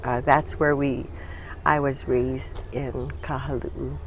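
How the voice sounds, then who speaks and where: An older woman from Hawaii speaks in a low, unhurried voice. She talks haltingly, with many pauses and fillers, as an interview guest recalling her past. Faint noise hums behind her. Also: level tone. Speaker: interview guest, retired social worker. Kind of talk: casual reminiscing conversation.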